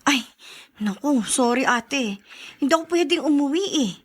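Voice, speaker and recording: Busy Filipino younger sister, high animated voice, breezy, radio drama. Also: female voice, moderate pace. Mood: breezy, apologetic.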